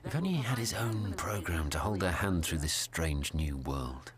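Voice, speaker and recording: A sceptical broadcasting executive in a 1950s-set radio drama. The voice is deep and drily mocking, over clear background chatter. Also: male voice, expressive, normal speed. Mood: dry scepticism.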